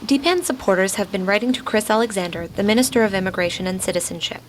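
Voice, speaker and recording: A woman's voice: medium pitch, quick pace, slight background noise. A woman reading scripted radio documentary narration as a reporter.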